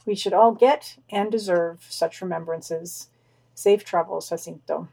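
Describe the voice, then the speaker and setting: A woman's voice: lowish voice, unhurried, gravelly, mellow, husky, rough recording. A woman co-hosting a podcast, reading short scripted news items with brief casual comments.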